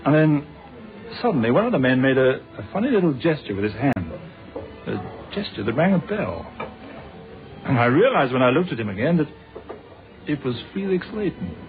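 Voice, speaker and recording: Thin phone-like old radio play, man acting a courteous british police inspector, measured and dry, faint music. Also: mid-range voice, big pitch swings, lightly acted. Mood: reflective. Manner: measured, courteous, formal questioning. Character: British police inspector, polite, probing, quietly dry storyteller.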